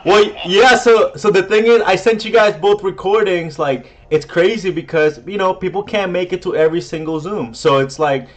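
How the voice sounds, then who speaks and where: A man with a Spanish accent hosting a video roundtable, excitable and full of fillers. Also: sits high, moderate pace, few pauses. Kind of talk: casual chat. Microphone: video call.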